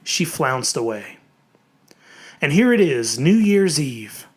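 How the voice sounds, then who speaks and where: A narrator reading a horror story in a theatrical, dramatic way, with emphatic, drawn-out words. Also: mid-range voice, sing-song.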